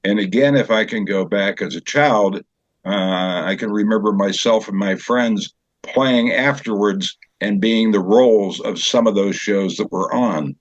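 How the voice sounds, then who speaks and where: Older guy, kinda low voice, explains stuff slowly with stops, lo-fi dry call sound. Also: no echo. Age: older, 70s or so. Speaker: guest from a community theater group. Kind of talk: interview, explaining. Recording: dull, band-limited call audio.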